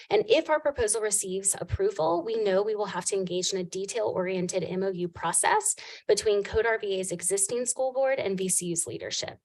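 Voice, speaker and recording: A soft-spoken woman reading prepared remarks in a formal presentation to a committee, heard on a distant mic in a meeting room. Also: medium pitch. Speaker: program presenter.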